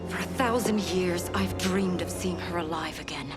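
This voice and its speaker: A woman's voice: lowish voice, moderate pace, lightly acted. A woman playing a stern, principled queen in a video game, speaking with solemn authority. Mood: grieved, resolute. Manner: commanding, solemn phrasing. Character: regal young-looking female ruler, stern and principled. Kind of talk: dramatic video game acting. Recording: loud music over the voice.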